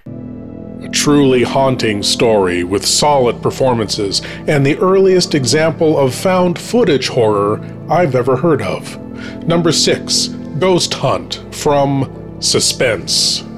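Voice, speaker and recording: A man presenting a spooky countdown as a horror host, reading in a measured, theatrical way with dramatic pauses. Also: mid-range voice, lively intonation, over music. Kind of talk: scripted spooky countdown narration.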